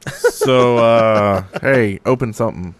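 A man's voice: medium pitch, slow pace, smooth, dry recording. A man co-hosting a podcast in casual, wry banter, a little hesitant in how he starts his thoughts. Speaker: podcast co-host.

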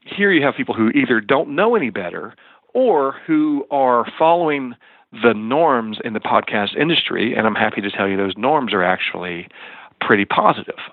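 A man's voice: medium pitch, animated, normal speed, dry recording. A man explaining things as an expert interview guest, heard over a thin, phone-like connection. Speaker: guest, music and podcast lawyer.

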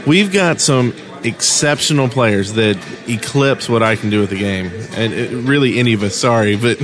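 A man's voice: mid-range voice, normal speed, music in the background. A man chatting casually as a co-host of a gaming podcast. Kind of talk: casual group chat about gaming. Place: indoors at a LAN party.

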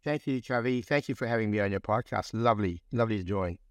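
A man in his forties from Fiji, a pharmacist guest talking in a relaxed, reflective way with many fillers. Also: fairly low pitch, quick pace, no room sound, studio quality. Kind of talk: conversational interview.

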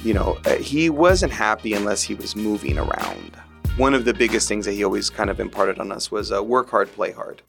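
A man's voice: lowish voice, very animated, a little fast, creaky. Man reminiscing over background music. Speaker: interviewee remembering his late father. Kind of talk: personal reminiscence. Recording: music bed behind speech.

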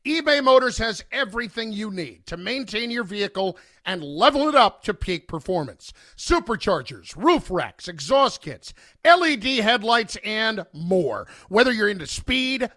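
A man's voice: high voice, big pitch swings, normal speed, sharp tone, no room sound, studio quality. A man reading a scripted radio advertisement as a commercial announcer.

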